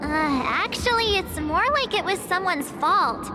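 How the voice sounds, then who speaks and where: A woman's voice: very high-pitched, lively intonation, unhurried. A woman voicing a perky, easily flustered teenage girl adventurer in a chatty video game scene. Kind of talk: video game story dialogue. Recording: loud music behind.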